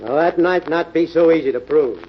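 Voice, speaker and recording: An actor playing a practical, skeptical police inspector in a 1940s radio mystery. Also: male voice, fairly high pitch, moderate pace, close to an everyday voice. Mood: matter-of-fact. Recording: noisy, muffled old-time radio recording.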